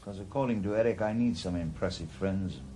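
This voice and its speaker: An older actor playing a sardonic retired ex-detective in a radio drama. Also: male voice, sits low, brisk, warm tone, natural voice. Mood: wry. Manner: dry, sardonic. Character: weary, wry retired male ex-detective.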